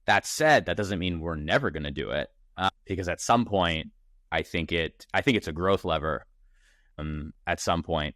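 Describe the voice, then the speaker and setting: A man of thirty, a startup founder as podcast guest, talking in a casual, energetic way with many restarts and fillers. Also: low voice, no echo, studio quality. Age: 30s. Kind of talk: casual interview conversation. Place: Toronto.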